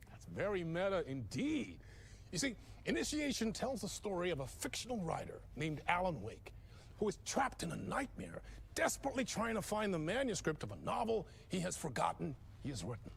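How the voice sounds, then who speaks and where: Flashy talk show host, high gravelly voice, swoops all over the place. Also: male voice, sing-song, fairly quick, slight background noise, exaggerated voice. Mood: knowing. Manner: showy, effusive, over-the-top enthusiasm. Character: flamboyant, gushing male TV talk show host. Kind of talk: cinematic game cutscene.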